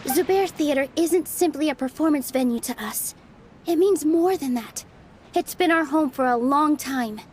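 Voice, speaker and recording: An actress playing an earnest young theater dancer in a video game, gentle yet resolute and heartfelt. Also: female voice, sits high, normal speed, a little hiss or hum, close to an everyday voice. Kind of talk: scripted video game dialogue.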